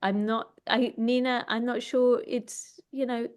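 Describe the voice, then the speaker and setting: A woman's voice: on the high side, level tone, unhurried. Hesitant woman moderating a discussion. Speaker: host and moderator of an online talk. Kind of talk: thoughtful informal moderating.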